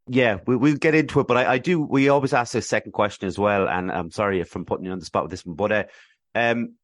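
A man's voice: lowish voice, no room sound, clean studio sound. A man hosting a podcast interview, asking long, hesitant questions with many restarts. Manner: hesitant, stammering, rambling questions. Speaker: podcast host.

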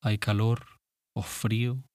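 A man guiding a meditation in a calm, gentle, unhurried manner with pauses. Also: lowish voice, no room sound, studio quality. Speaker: meditation guide. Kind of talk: guided meditation.

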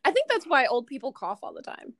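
A woman's voice: high voice, wildly swooping pitch, fairly quick, a bit bright. A young American woman chatting casually as a podcast host, laughing often and poking fun at herself. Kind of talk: casual chat.

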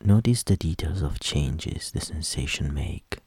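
A man leading a guided meditation in a soft, soothing voice with gentle instructions that trail into whispers. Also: rumbling low, lively intonation, breathy edge, dry recording. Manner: slow, gentle instructions with long pauses.

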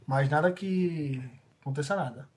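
A Brazilian man acts as game master in a live tabletop session, narrating and explaining rules casually. His voice is a little high, and the recording carries some background noise. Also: moderate pace. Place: in-person group game session.

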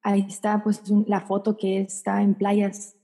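A woman's voice: mid-range voice, monotone, measured pace, mellow. A young woman singer from the Tijuana–San Diego border, answering interview questions in a thoughtful, rambling way with bits of English. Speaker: singer, guest.

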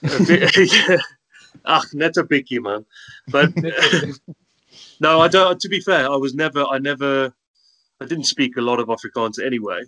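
A man with a softened South African accent chats easily as an interview guest. His pitch sits right in the middle for a man. He talks in a cheerful, storytelling way. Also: measured pace, pauses often, poor audio. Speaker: guest, content creator. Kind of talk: casual interview chat.

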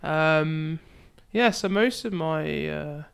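A young woman hosts a relaxed conversation, her voice smooth and clean. She is chatty and laughs easily as she leads the talk. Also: sits low, takes it slowly. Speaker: podcast host and youth ministry leader. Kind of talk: casual chat.

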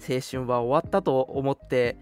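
A man's voice: mid-range voice, measured pace, a little mellow. Young male radio host, casual and reflective with a teasing edge, music underneath. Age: young adult. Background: background music.

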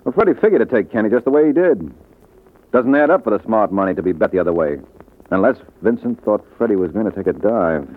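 Wry, low-voiced radio private eye. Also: male voice, animated, fast. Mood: probing. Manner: wry, confident. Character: wisecracking, cocksure male private detective. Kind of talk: vintage radio detective drama acting. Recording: muffled narrow-band old radio, noisy.

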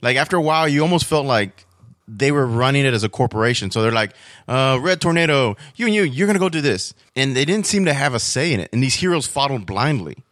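A man's voice: medium pitch, lively intonation, fast talker, a bit bright, no room sound, studio quality. A man chatting casually in an informal, rambling storytelling way, as a podcast guest. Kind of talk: casual chat about superhero comics and cartoons.

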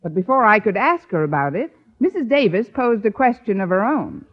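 Sardonic schoolmarm, animated pitch, dry punchlines, vintage radio comedy, slightly dull sound. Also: female voice, a little fast. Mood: wry, narrating. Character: wry, quick-witted single schoolteacher woman. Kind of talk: 1950s radio comedy acting.

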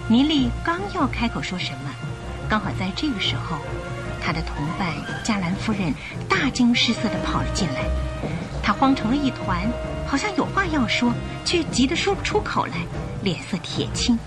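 A woman narrating a Chinese radio drama in a scripted, expressive storytelling style. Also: on the high side, moderate pace. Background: music.

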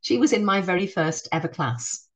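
Woman, middle-aged, Northeast English accent, lowish pitch, reflective, restarts sentences. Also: expressive, moderate pace. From Northeast England. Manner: warm, reflective, frequent restarts. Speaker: head teacher, guest. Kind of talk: conversational podcast interview.

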